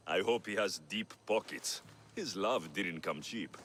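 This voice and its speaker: A man's voice: sits low, measured pace, a bit bright, slight background noise. A man playing a wry, skeptical mercenary warrior in a video game, with a dry, sardonic delivery. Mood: wry, resigned. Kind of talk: dramatic video game acting.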